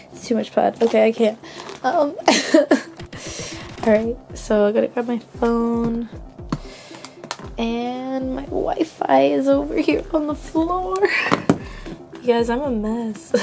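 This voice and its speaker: A young woman vlogging into her phone camera, chatty and giggly, full of ums and likes, her voice still recovering from a cold. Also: high-pitched. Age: young adult. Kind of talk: casual vlog chat.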